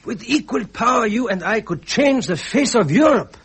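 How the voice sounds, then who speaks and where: Husky, arrogant young Prussian royal. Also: male voice, extremely high pitch, animated, normal speed, a bit bright, heavily acted. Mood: grandiose, scheming. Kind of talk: British radio drama acting.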